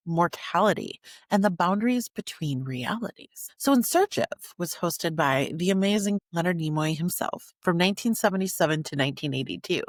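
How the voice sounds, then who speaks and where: A woman with a fairly low voice narrates a scripted documentary podcast. Her pitch moves expressively as she lands key words with deliberate pauses, and the sound is close and dry. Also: no room sound. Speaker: podcast host.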